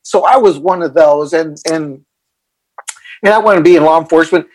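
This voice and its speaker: A man's voice: fairly high pitch, moderate pace, darkish tone, poor audio. A middle-aged man from El Paso, Texas, a mindfulness coach, answering interview questions with many fillers and restarts. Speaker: guest, mindfulness coach for athletes.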